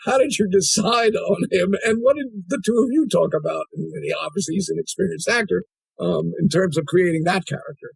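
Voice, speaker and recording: A man's voice: sits high, brisk, creaky, slightly rough, dry recording, low-quality recording. A male interviewer asking probing questions, often restarting his sentences. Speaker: interviewer, host.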